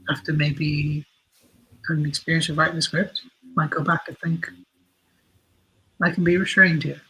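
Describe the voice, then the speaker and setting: Husky guy on the higher side, chatting as a guest, keeps restarting his sentences. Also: a bit bright, poor audio. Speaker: interview guest, audio drama writer and director. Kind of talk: casual conversational interview.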